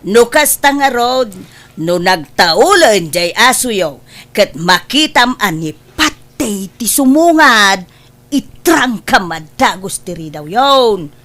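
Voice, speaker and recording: A woman playing a sharp-tongued, teasing woman in an Ilocano family comedy, scolding with drawn-out exclamations. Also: mid-range voice, animated. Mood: insistent. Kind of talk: comedy drama acting.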